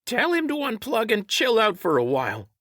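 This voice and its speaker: A man's voice: huge pitch swings, moderate pace, a bit bright, no echo, clean studio sound, full character voice. A man voicing a bratty, impatient flying creature sidekick with a bleating voice in an audiobook story. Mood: impatient demanding. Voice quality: high, bleating.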